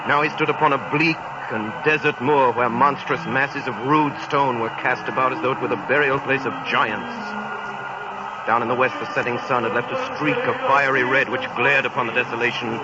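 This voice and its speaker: A man's voice: medium pitch, steady tone, moderate pace, very noisy. A man narrating a classic tale in a 1930s radio broadcast, in a rich, dramatic storytelling manner. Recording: dull 1930s radio broadcast with loud music.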